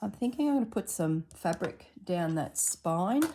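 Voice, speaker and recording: A woman with a slightly husky voice narrating a casual craft tutorial at her table, thinking aloud as she works. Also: fairly low pitch, expressive, warm tone, a little hiss or hum. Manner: unhurried, thinks aloud while working. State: recovering from illness, slightly husky throat. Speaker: craft vlogger.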